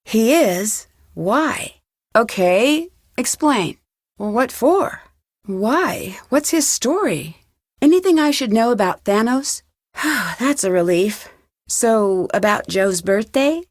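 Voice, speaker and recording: A woman's voice: a little high, sing-song, slow, no echo, studio quality, exaggerated voice. An actress playing an inquisitive, polite young woman detective in a video game, speaking in an earnest, questioning way. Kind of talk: video game dialogue acting.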